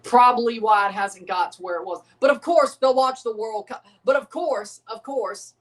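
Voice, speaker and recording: A man hosts a relaxed livestream reaction, talking in loose, profane rambles. He keeps doubting his own points as he goes. His voice sits a little back from the microphone. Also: very high voice, moderate pace, far from the mic. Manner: rambling, profane, second-guessing himself. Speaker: livestream host reacting to a match. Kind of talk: casual livestream reaction chat.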